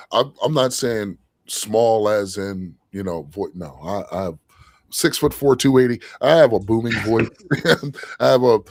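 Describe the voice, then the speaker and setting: A man's voice: fairly low pitch, no room sound. A man co-hosting a fan podcast, talking earnestly in casual chat and often adding "you know what I'm saying". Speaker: co-host of a fan show.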